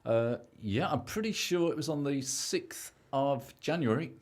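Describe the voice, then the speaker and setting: A man's voice: mid-range voice, lively intonation, a little slow. A man acting in an amateur radio play as a desperate, self-pitying man, pleading dramatically. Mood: confused. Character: Gareth, desperate, self-pitying man, broke after a false lottery win.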